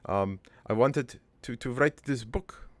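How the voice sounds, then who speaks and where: A man voicing a middle-aged, foreign-born cartoon father who rambles through his life story in a deadpan, halting, improvised monologue with a gravelly voice. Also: medium pitch, no room sound, close to an everyday voice. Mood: earnest, crude. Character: rambling, crude, wistful foreign-born immigrant father named Joey.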